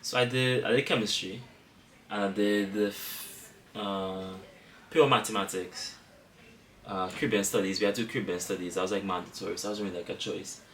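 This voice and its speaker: A man's voice: on the low side, a little fast, far from the mic. A young man from Trinidad and Tobago with a Trinidadian accent, chatting casually as a student guest and rambling a little as he corrects himself. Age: young adult. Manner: relaxed, rambling, self-correcting. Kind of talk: casual chat. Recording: echoey room, off-mic, faint room noise.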